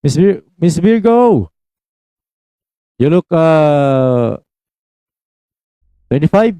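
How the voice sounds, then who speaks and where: A man's voice: on the high side, sing-song, drawn-out words, warm tone, clear, resonant tone, no room sound. A man in his twenties with a Filipino accent, hosting a casual live stream, switching between Tagalog and English and calling out viewers by name. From Philippines. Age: 20s.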